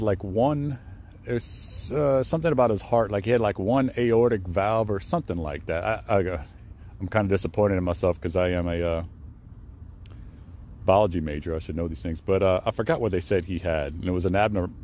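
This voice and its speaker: Low-pitched young man, casual vlog monologue, rambling with fillers, hushed and respectful, outdoors, faint background noise. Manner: rambling, with many fillers and self-corrections. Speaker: travel vlogger. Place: outdoors in a cemetery.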